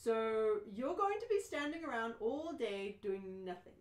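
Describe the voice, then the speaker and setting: Bewildered, exasperated everyman for radio comedy, played by a woman, highish, dry, roomy echo. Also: very animated, unhurried. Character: exasperated, bewildered ordinary Englishman defending his house. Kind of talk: amateur radio play reading. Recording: roomy, echoing live reading.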